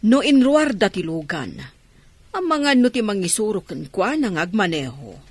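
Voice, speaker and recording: Animated lady of the house played by a woman. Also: medium pitch, normal speed, no echo. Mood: inquiring. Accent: Ilocano. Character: middle-aged lady of a well-off household. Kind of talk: radio drama acting.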